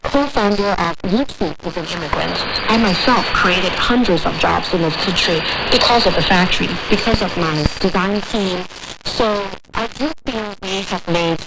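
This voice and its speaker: A woman's voice: on the low side, normal speed. A woman from China with a Chinese accent, answering interview questions earnestly about her factory. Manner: earnest, slightly non-native phrasing. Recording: off-air shortwave radio with heavy noise.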